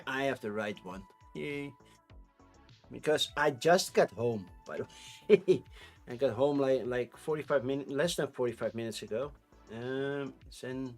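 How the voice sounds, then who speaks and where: A man in his thirties with a Dutch accent, rambling casually on a live stream. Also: medium pitch. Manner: rambling, chatty, with frequent restarts and laughs.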